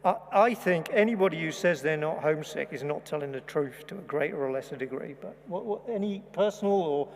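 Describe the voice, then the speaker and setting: Higher-pitched male lecturer in echoing hall. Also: lively intonation, a little fast, few pauses. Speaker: lecturer chairing a student panel. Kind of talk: unscripted moderating, reading out questions. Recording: reverberant hall, faint background ambience.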